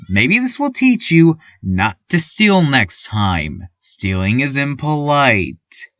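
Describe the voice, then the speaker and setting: Awkward small-town radio host, played by a male actor: halting, timid yet oddly cheerful, pitch swooping, dry lo-fi podcast sound. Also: sing-song, a bit bright, no echo. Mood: hesitant, reporting. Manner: hesitant, stammering, many ums and pauses. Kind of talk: surreal fiction podcast, community radio news monologue. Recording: dull, lo-fi, slightly muffled sound.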